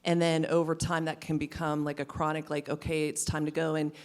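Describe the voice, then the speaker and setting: A woman's voice: low voice, level tone, brisk, darkish tone. A woman on a career panel who speaks earnestly about resilience and burnout. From western Pennsylvania, US. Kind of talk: panel discussion.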